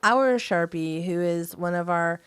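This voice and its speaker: A woman's voice: lowish voice, measured pace, smooth, dry recording. An American woman co-hosting a podcast, chatting casually in an informal, giggly way. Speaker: podcast co-host. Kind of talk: casual chat.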